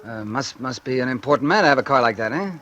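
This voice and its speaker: An actor playing a bitter, vengeful ex-convict, narrating and speaking in a hard-boiled, brooding 1940s radio drama style. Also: male voice, mid-range voice, animated, quick pace, muffled recording, a little hiss or hum, natural voice. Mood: probing, uneasy. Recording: old radio broadcast with loud music behind.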